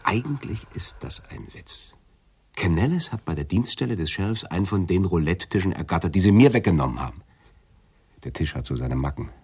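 An actor playing a slick, bitter gambler and cocky schemer in a hard-boiled radio drama, with a casual, insinuating manner. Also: male voice, low voice, lively intonation, fairly quick, darkish tone, breathy edge, heavily acted. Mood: scheming, bitter.

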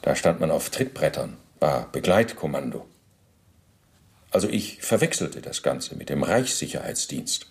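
Low, creaky matter-of-fact ex-officer. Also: male voice, sing-song, a little slow, full character voice. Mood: matter-of-fact, self-justifying. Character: former SS officer under interrogation, matter-of-fact, self-justifying. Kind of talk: radio drama testimony, recounting at length.

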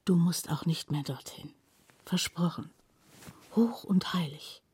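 A possessive lover, cooing intimately. Her voice is low and dark, extremely breathy and often half-whispered, as in a close radio drama scene. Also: slow pace, exaggerated voice.

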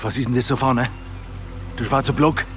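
Coarse soldier played by a man, offhand and slangy, medium voice, radio drama with clear music. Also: mid-range voice, steady tone, moderate pace, a bit bright, natural voice. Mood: crude, curious. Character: coarse, unbothered soldier scavenging the dead.

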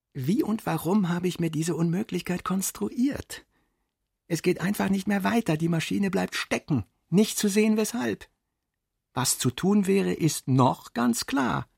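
An actor playing a reflective, self-doubting novelist reading his own notes in a radio drama, measured, cerebral and formal, with a husky voice. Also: on the high side, no room sound, studio quality, heavily acted. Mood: weary, brooding.

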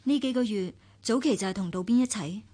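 A woman with a Hong Kong Cantonese accent acting a caring, perceptive family confidante in a radio drama, probing and gently admonishing. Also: medium pitch, fairly quick, close to an everyday voice. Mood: perceptive, questioning.

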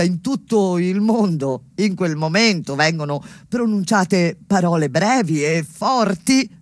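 An actress playing a grave, impassioned survivor in a dramatic stage narration, speaking solemnly and deliberately with weighty pauses. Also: female voice, on the low side, no room sound. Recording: loud plucked-string music behind. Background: loud music with plucked strings, guitar.

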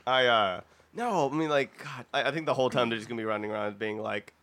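Bright-voiced man, profane podcast host. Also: medium pitch, quick pace. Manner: profane, jokey. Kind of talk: casual comedic podcast chat.

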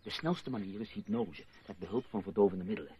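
A man playing an intense, suspicious patient in a radio drama, speaking with insistent, deliberate urgency. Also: lowish voice, lightly acted. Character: intense, suspicious male patient convinced of brainwashing. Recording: dull old radio play recording.

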